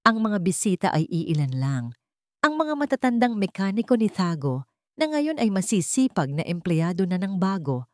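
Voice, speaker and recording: Woman narrator with a lively, low voice. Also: no room sound, studio quality. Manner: dramatic storytelling. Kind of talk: scripted narration.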